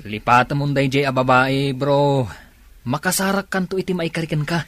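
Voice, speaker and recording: A man's voice: mid-range voice, steady tone, moderate pace, fairly bright tone, slight background noise, lightly acted. An actor playing a supportive male coworker in an Ilocano radio drama, brotherly and given to philosophical advice. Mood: consoling.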